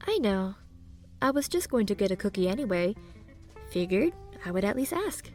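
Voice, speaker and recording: A woman's voice: medium pitch, sing-song, normal speed, a little mellow, soft background music, close to an everyday voice. A woman playing a kind, poised young female student with a dry wit in an audio drama. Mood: helpful.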